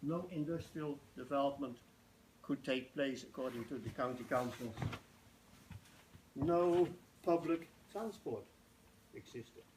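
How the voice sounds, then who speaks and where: An older man with a Dutch accent giving an informal public talk in a halting, anecdotal way. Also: medium pitch, darkish tone, rough recording. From Netherlands. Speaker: festival organiser giving a presentation. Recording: noticeable background noise, live talk.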